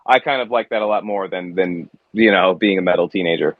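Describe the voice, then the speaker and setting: A man from the United States, a guest musician, talking in a reflective, halting way with many restarts. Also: deep voice, no room sound, narrow, tinny audio. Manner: reflective, halting with repeated starts. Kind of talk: casual conversation.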